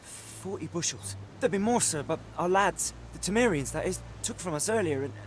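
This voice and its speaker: Humble high-voiced village peasant. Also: male voice, animated, moderate pace, slight background noise. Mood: nervous, servile. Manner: servile, pleading. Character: humble, deferential male village peasant elder. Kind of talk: dramatic video game acting.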